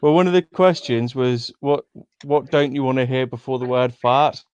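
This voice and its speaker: A man's voice: medium pitch, normal speed, darkish tone, clean tone, dry recording. A man chatting casually on a voice call, easygoing and laughing often. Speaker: stream chat participant. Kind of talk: casual chat.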